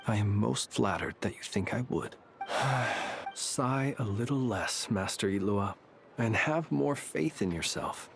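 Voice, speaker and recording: A man's voice: fairly low pitch, animated, slow, gravelly, soft background music, exaggerated voice. An actor playing a modest, formal, dryly witty man in a video game. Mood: courteous, encouraging. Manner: formal, elaborate politeness.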